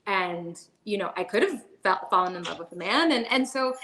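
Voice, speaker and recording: Bright-voiced chatty woman guest. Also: medium pitch, animated, moderate pace, slight background noise, rough recording. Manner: chatty, many "like" and "you know" fillers, laughs often. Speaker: podcast guest. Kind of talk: candid conversational storytelling.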